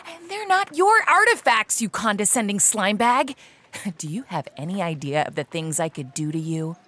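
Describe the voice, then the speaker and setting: An actress playing an irritated, menacing, sharp-tongued museum worker in an audio drama, with a scornful manner. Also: female voice, mid-range voice, lively intonation, moderate pace. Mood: menacing, furious. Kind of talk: audio drama character acting.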